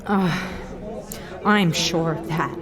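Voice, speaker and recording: A woman's voice: on the low side, drawn-out words, over music. A woman playing a blunt, determined adventurer, direct and earnest in fantasy audio drama dialogue. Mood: challenging, earnest.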